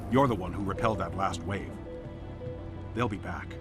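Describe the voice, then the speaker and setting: A man's voice: deep voice, normal speed, lightly acted. Grim, blunt colony hunter, played by a man. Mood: grim, worried. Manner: blunt, pessimistic. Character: grim, practical male colony hunter worried about food. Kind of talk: video game dialogue acting. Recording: loud game music behind.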